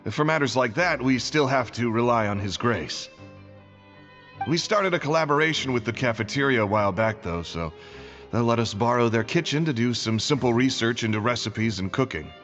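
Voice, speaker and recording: A man's voice: mid-range voice, expressive, normal speed, a bit bright, soft background music, lightly acted. An actor playing a courteous, earnest male guide in a video game, polite, formal and welcoming. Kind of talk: video game dialogue acting.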